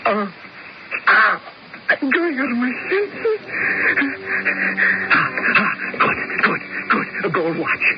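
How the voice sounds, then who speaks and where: An actor playing a frantic, guilt-crazed murderer in a 1970s radio drama, muttering to himself in halting gasps. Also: male voice, extremely high pitch, wildly swooping pitch, very noisy, exaggerated voice. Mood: panicked, gasping. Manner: halting, gasping self-talk. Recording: dull old radio broadcast, loud music.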